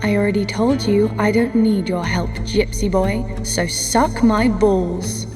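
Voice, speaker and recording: An actress in an audio drama playing a cocky, foul-mouthed adventurer, mocking and sarcastic. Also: female voice, medium pitch, fairly even intonation, a little slow, music in the background, natural voice. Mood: defiant.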